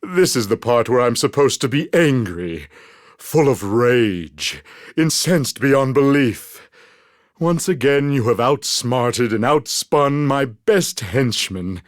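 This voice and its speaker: A man's voice: medium pitch, lively intonation, slow, no echo, studio quality. A man voicing a pompous, scheming, exasperated mad scientist villain in a theatrical video game style. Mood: sardonic.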